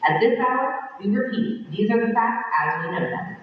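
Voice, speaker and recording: A grave radio news announcer. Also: male voice, very high voice, normal speed. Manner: steady, formal bulletin reading. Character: grave male emergency broadcast announcer. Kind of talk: 1960s broadcast news delivery. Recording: dull, distant, echoey old film radio sound.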